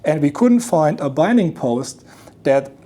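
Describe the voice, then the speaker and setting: A man giving a deliberate, technical product demonstration as a company representative, explaining features with a sales pitch. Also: lively intonation, dark tone. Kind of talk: explanatory product presentation at an audio show.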